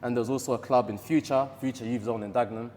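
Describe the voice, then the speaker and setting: A man's voice: medium pitch, fairly even intonation, quick pace, a little mellow, in a large hall. A man in his twenties with an East London accent, chatting casually as a podcast guest, laid-back and chatty, often adding "you know what I mean". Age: 20s. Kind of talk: casual chat. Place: boxing gym.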